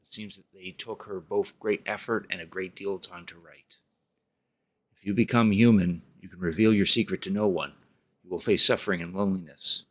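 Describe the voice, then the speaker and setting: A man streaming a game, reading its story text aloud in a measured way and commenting on his play. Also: low-pitched, steady tone, a bit bright.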